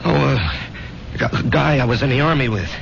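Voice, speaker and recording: An actor playing an American war veteran in his thirties, reflective and halting, in a 1970s radio drama. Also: mid-range voice, lively intonation, creaky, a little hiss or hum. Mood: shaken, insistent. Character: haunted thirtyish war veteran turned weary salesman. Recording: muffled old radio broadcast.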